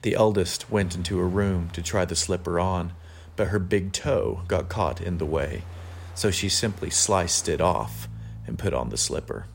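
A man with a measured, deliberate delivery, reading poetry and reflecting on it aloud. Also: low voice, vocal fry. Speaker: guest reader. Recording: faint background noise.

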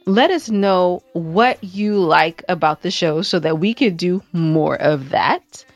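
A woman's voice: lowish voice, slow pace, no echo, dull audio, faint music behind. A woman co-hosting a podcast with casual, joking banter and a playful, teasing manner. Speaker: podcast co-host.

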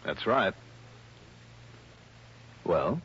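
An American man playing a brisk, assured private investigator in a vintage radio drama, with clipped, probing and authoritative delivery. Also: sits low, sing-song, fairly bright tone, a little hiss or hum, full character voice. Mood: cool, probing. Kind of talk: mid-century American radio detective drama acting. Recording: vintage radio broadcast, dull, music underneath.

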